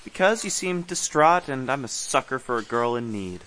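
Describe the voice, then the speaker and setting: A man's voice: mid-range voice, expressive, moderate pace, fairly bright tone, slight background noise, lightly acted. A man playing an earnest, chatty park ranger in his twenties on a date in a romantic comedy audio play, hesitant, rambling and blunt. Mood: awkward, frank. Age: 20s.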